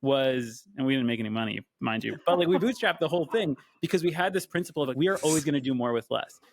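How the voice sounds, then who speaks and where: Gravelly-voiced man telling a story. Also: medium pitch, fast, dry recording. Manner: animated, candid, swears freely. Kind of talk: casual storytelling.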